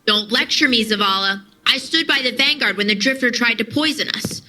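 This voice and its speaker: An actress playing a defiant, headstrong soldier in an audio drama, arguing forcefully. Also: female voice, a little high, a little fast, rough recording. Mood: indignant. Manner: forceful, argumentative. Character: defiant, headstrong female soldier confronting her commander.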